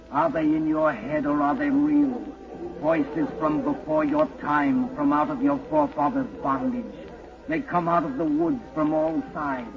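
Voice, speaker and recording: An actor playing an ominous, taunting narrator who haunts a fugitive, incantatory and theatrical, in an old radio drama. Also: male voice, on the high side. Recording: noisy, dull 1940s radio broadcast.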